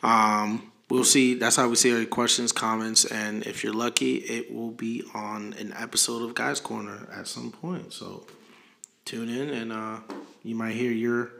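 Bright-voiced teasing man in his thirties. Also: mid-range voice, fairly even intonation, unhurried, gravelly. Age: 30s. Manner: teasing, blunt, running the segment. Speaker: podcast co-host. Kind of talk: casual chat.

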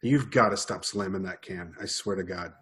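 A man's voice: low voice, normal speed, a bit bright. A man chatting on a podcast, telling a story casually, swearing and correcting himself as he goes. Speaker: podcast co-host.

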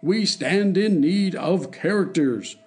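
A man reading aloud gives voice to a cruel, blustering king, loud and bullying. He lifts his pitch and booms his words with heavy scorn, unhurried and commanding. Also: expressive, slightly rough, full character voice. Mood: domineering.

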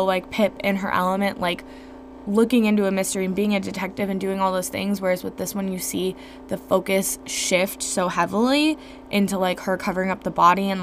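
A young woman hosting a podcast, talking casually about a book with lots of "like" fillers, her voice a little gravelly and worn from screaming. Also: medium pitch. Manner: chatty, lots of "like" fillers, expressive. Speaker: podcast host reviewing a book. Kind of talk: casual solo podcast talk. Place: home room. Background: faint fridge hum.

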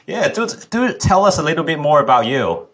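A man's voice: fairly high pitch, sing-song, brisk, fairly bright tone, slightly rough, no room sound. A man hosting a podcast, who reads a scripted welcome and then chats casually with his guest, laughing often. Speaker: podcast host.

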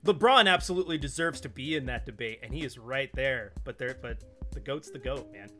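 An American man chatting casually as a podcast guest, telling things in an animated, emphatic way. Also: mid-range voice, a little fast, faint music behind. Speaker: podcast co-host appearing as guest.

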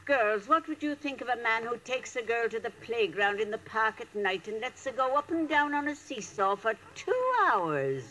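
Bright, swooping landlady. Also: on the high side, animated, moderate pace, hardly any pauses. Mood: indignant. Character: huffy, coy older Irish landlady. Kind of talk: 1940s radio comedy acting. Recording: faintly noisy old radio recording.

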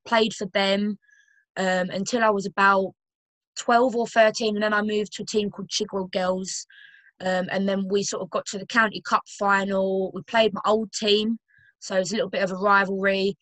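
A woman's voice: medium pitch, flat delivery, moderate pace, a bit bright, no echo, rough recording. Chatty young London woman, dry sound. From Romford, London. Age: 20s. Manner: chatty, with many fillers and restarts. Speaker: guest footballer and personal trainer. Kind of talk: casual podcast interview.

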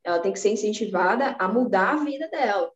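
A woman's voice: mid-range voice, animated, a little fast, reverberant, muffled recording. A woman from Salvador, Bahia, with a Bahian accent, a podcast guest talking about personal finance in an earnest, motivating way, with many restarts. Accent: Bahian Brazilian Portuguese. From Salvador, Bahia, Brazil. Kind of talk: casual interview chat.

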